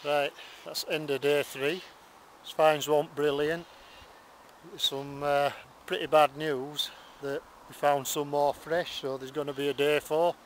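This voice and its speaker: A man with a Yorkshire accent narrates his countryside dig into a close camera mic, wry and understated. His voice sits a little high for a man. Plenty of outdoor noise comes through around him. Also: little pitch movement, a little slow. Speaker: bottle digger filming his dig. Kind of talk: casual commentary while digging. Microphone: handheld or worn camera close to face.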